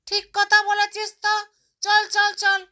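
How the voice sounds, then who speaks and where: Tiny cartoon bird, super high bright squeaky voice, chattering like a kid. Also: female voice, monotone, slow pace, heavily acted. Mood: disappointed, resolved. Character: greedy, stingy little mother bird and her chirpy chicks. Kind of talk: cartoon character voice.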